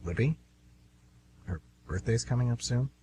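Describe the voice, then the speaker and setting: A man's voice: medium pitch, expressive, measured pace, warm tone, slight background noise, natural voice. A man playing a polite, understated hitman who poses as a friendly tourist in an amateur radio play. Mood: casual, agreeable. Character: smooth, rueful male hitman posing as friendly tourist.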